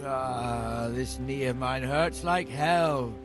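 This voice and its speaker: An actor playing a crotchety, weary old record keeper in a video game, grumbling in a patronizing way. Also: male voice, mid-range voice, slow, music in the background, natural voice. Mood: irritated, weary. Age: older. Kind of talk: video game cutscene acting.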